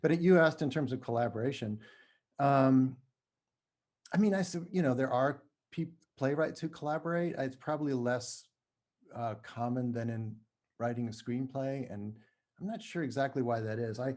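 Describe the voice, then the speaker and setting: Mellow-voiced man explaining carefully, haltingly. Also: medium pitch. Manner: halting, careful with word choice. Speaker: playwright and screenwriter guest. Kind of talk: thoughtful conversational explanation.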